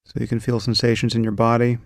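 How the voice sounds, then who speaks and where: A man calmly guiding a meditation, giving slow, gentle instructions about breath and sensation. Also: mid-range voice, gravelly, no room sound, studio quality. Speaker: meditation guide. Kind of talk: guided meditation.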